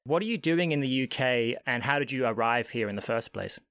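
Gravelly-voiced male podcast host. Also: medium pitch, lively intonation, fairly quick, a bit bright, dry recording, clean studio sound. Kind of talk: scripted intro then casual interview. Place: home during lockdown.

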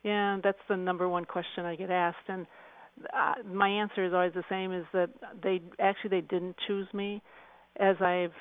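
A woman speaking as a radio interview guest, answering conversationally in an earnest, reflective and halting way. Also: lowish voice, little pitch movement, smooth, no echo, narrow, tinny audio.

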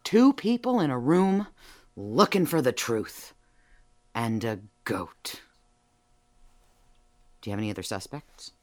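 An actress playing a cheeky, evasive burglary suspect in an audio drama, theatrical and teasing, swinging from meek to emphatic. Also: female voice, a bass voice, lively intonation, takes it slowly, pauses often, a little mellow, heavily acted. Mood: sly, confident. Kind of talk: audio drama dialogue acting.

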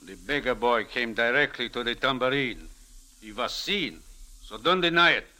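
Sharp-voiced, menacing gangster boss. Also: male voice, medium pitch, expressive, moderate pace. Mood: impatient, threatening. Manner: controlled, menacing, curt. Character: cold, commanding gangster boss in a business suit. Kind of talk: 1940s radio drama acting. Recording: crackly vintage radio recording.

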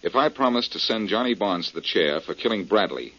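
Cool, husky radio detective. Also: male voice, on the low side, lively intonation, sharp tone, full character voice. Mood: shrewd, pressing. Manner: brisk, confident, probing. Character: cool, shrewd, wisecracking male amateur detective. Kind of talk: 1940s radio acting. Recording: muffled, worn old radio broadcast.

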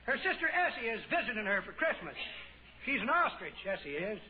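A man's voice: sits high, big pitch swings. An actor playing a spry, folksy old man who cracks homespun jokes in 1940s radio comedy style. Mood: chipper, joking. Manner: folksy, drawn-out punchlines, homespun grammar. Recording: noisy, tinny old-time radio broadcast.

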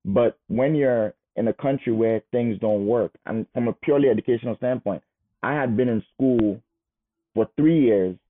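A man in his thirties, a guest telling his life story in a casual, animated way. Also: mid-range voice, normal speed. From Nigeria. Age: 30s. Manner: animated, repeats words as he goes. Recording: phone-like narrow sound.

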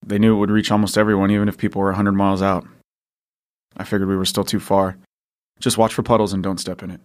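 Male actor as a weary survivor father, very low and gruff, audio drama lead. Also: level tone, quick pace, close to an everyday voice. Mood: grim. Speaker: father character John.

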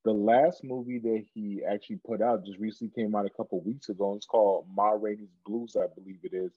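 A man's voice: lowish voice, fairly even intonation, brisk, no room sound, narrow, tinny audio. A man from Cameroon co-hosting a podcast, talking earnestly in casual chat and often repeating words as he builds a point. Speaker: podcast co-host.

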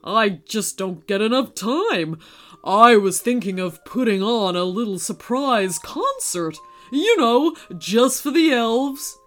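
Brief: a woman doing a hearty old Santa, booming and lively, for a storybook. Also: slow, faint music behind. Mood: jolly. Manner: booming ho-ho laughter, hearty and loud. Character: jolly old male Santa, cheerful and kind.

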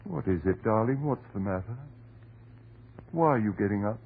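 A man's voice: fairly low pitch, lively intonation, moderate pace, gravelly, phone-quality sound. An actor playing a distraught, desperate husband devoted to his wife, in melodramatic, pleading 1930s radio horror style. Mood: anguished. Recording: noisy, band-limited 1930s radio recording.

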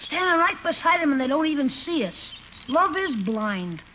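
A woman's voice: high-pitched, sing-song, moderate pace. A woman playing a cheeky, wisecracking little boy nephew with slangy griping in a radio sitcom. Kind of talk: 1950s radio sitcom acting. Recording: noisy, muffled 1950 radio recording.

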